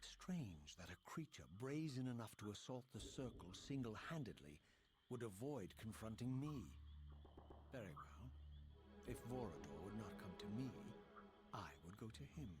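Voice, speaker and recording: A man's voice: lowish voice, sing-song, a little slow. A man voicing a grave, brooding undead wraith who narrates a video game in solemn, formal, theatrical diction. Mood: grim resolve. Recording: loud music behind the voice. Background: loud music.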